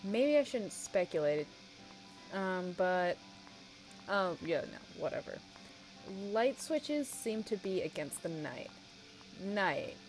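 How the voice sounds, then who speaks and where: A woman's voice: fairly low pitch, very animated, slow, long pauses, heavily acted, low-quality recording. A woman playing a chatty sports radio announcer who thinks aloud in a conversational, scripted show with hesitant asides. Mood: puzzled, uneasy. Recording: music behind the voice.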